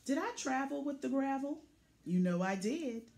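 A woman's voice: sits high, lively intonation, normal speed, gravelly, a little mellow, pitched above the performer's own voice. A woman voicing a boastful, plucky little pickup truck from a children's picture book, punchy and full of vocal sound effects. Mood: straining, proud.

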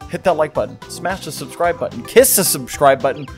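A man with a slightly higher-pitched voice hosts in a casual YouTube style. His delivery is chatty and jokey, like informal commentary. Music plays clearly behind him. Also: lively intonation, a little fast. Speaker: video host commenting on horror videos.

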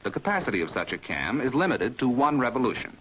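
A man's voice: mid-range voice, sing-song, fairly bright tone, slight background noise. Dull vintage recording of American male narrator. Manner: measured, precise, didactic. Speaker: training film narrator. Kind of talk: 1950s instructional film narration, scripted. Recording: dull 1950s film soundtrack.

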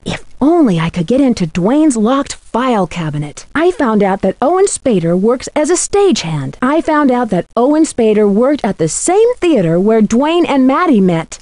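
A woman's voice: fairly high pitch, darkish tone, no echo. A woman playing a curious, polite young amateur detective in scripted video game dialogue, earnest and inquisitive in manner.

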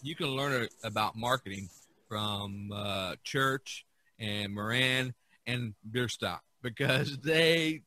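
A man with a bright, forward voice talks casually as a podcast host. His storytelling is enthusiastic and animated, at an average pitch for a man. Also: slow pace, no echo. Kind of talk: casual art history discussion.

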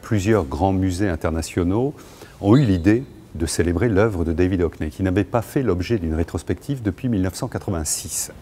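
Male curator, measured lecturing delivery, warm dark tone, lapel mic in a large gallery. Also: deep voice, a little hiss or hum. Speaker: museum curator. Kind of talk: explanatory art commentary.

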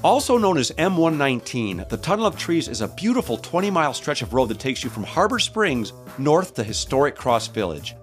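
A man's voice: medium pitch, big pitch swings, fairly quick, few pauses, faint music behind. A middle-aged American man hosting a travel show with upbeat narration and a folksy, joking way of talking. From United States, Michigan. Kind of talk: upbeat scripted narration and interviewing.

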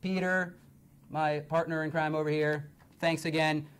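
A young man hosting a livestream in a studio, slightly hoarse from long talking, upbeat and full of fillers. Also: fairly high pitch, little pitch movement, measured pace, a bit bright. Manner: upbeat, filler-heavy presenting. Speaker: livestream host. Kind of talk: casual game-show chat. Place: indoor studio set. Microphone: lapel mic.

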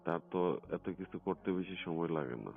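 Low-voiced coaxing man, muffled phone recording. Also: brisk. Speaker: phone caller in a recorded conversation. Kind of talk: private phone conversation.

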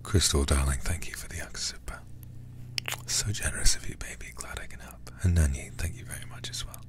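A man softly whispering close to the microphone in an ASMR game stream, reading text deliberately and murmuring intimate asides to his viewers. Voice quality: breathy whispering, low and gravelly. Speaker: livestreamer playing a horror game.

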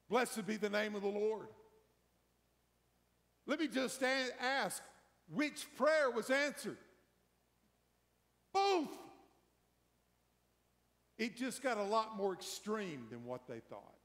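A middle-aged man preaching as pastor, building emphasis through a slow sermon before a hushed prayer in a large church. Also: very high voice. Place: large church sanctuary, empty pews. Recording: long church hall reverb.

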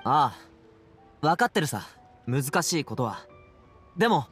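An earnest teenage boy in an anime game. He speaks casually and a little bashfully, in a fairly high male voice with lively swings of pitch and music behind him. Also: a little slow. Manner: hesitant, casual, boyish. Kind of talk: Japanese anime game dialogue acting.

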